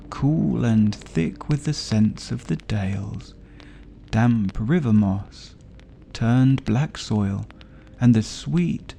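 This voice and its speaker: A man narrating a sleep story for adults as a storyteller, with a soothing, gentle, unhurried delivery. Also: lowish voice, creaky, slight background noise. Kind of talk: slow bedtime story narration.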